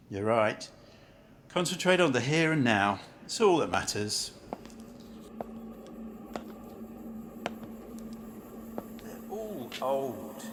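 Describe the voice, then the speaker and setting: Blunt, animated male city banker, acted by a man. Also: mid-range voice, background noise. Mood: grumbling. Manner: blunt, grumbling, questioning. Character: brusque, chatty city banker waiting for a train. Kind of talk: amateur radio play acting.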